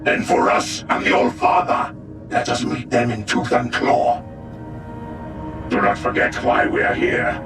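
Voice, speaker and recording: Very loud music surrounds a distant, echoing voice. It is a man acting a fierce, proud space warrior from a video game, gravelly and solemn, with pitch that swings widely as he declaims like one sworn to battle. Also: little pitch movement, measured pace, natural voice. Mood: battle fury.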